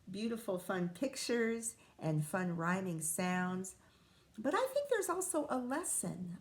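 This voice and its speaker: A woman teacher reading a picture book aloud to children, animated and pausing to chat and ask questions. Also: a little high, gravelly. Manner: animated, asks the listener questions. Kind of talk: expressive read-aloud with asides to children.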